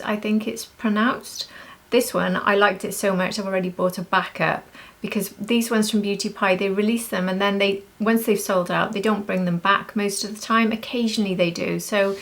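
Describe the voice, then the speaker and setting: Gushing woman with a creaky voice. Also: mid-range voice, fairly bright tone. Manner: gushing, emphatic repetition. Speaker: beauty vlogger. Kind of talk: casual chatty product review.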